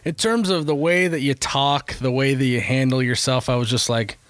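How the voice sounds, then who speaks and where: A man's voice: medium pitch, normal speed, fairly bright tone, dry recording, studio quality. A man hosting a podcast, upbeat and teasing as he chats with his guests. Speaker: podcast host. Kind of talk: casual podcast chat.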